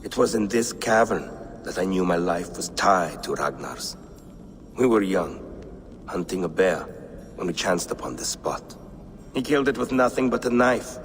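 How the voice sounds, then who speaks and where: Solemn Viking warrior, low slow voice, proud grief, video game, cavernous reverb. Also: male voice, expressive, a little hiss or hum. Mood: solemn reminiscence. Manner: solemn, storytelling, weighty pauses. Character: grieving, proud aging Viking warrior, loyal to his fallen king. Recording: long cavern-like reverb, faint music behind.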